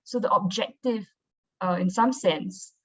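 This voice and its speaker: A woman's voice: medium pitch, a little slow, fairly bright tone, breathy edge. A young woman giving an informal, conversational presentation as a marine biologist over a video call. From Singapore. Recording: dull, lo-fi online call audio.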